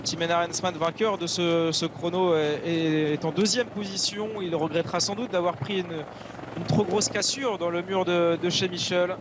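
A man giving live cycling race commentary in halting phrases, often repeating words. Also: high voice, fairly even intonation. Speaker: sports commentator. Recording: clear helicopter and vehicle noise.

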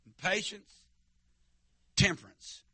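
A man preaching a fiery holiness sermon, with stammering restarts and shouts to the congregation. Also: takes it slowly, no echo. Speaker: pastor. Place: church sanctuary.

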